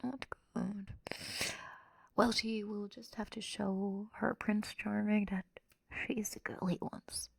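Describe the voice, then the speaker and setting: A woman in a whispered ASMR roleplay, telling a bedtime story in a soft, breathy, rambling, casual way as a quirky girl. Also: mid-range voice, level tone, slow, dry recording. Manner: rambling, improvised teen-slang delivery. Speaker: ASMR roleplay performer and streamer.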